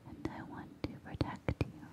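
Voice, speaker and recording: A young woman playing a tender, possessively protective witch in an ASMR roleplay, whispering breathily and intimately close to the mic. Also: lightly acted. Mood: tender, entranced. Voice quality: breathy, hushed. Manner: slow, intimate, soothing. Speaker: ASMR performer. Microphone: close-up ear-to-ear microphone.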